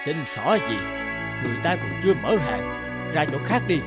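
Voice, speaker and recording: A man voicing a gruff, impatient, stingy street baker in an animated moral tale, brusque and scolding. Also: a little high, normal speed. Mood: irritated. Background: music.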